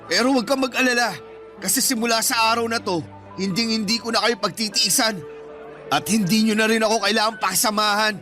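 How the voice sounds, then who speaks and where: An embittered older brother in his thirties, worn down by providing for his family. His Filipino-accented voice is very high for a man and bright, full of hurt indignation. It is radio drama, with music underneath. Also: steady tone, brisk. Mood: bitter resolve. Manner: emotional, wounded, indignant.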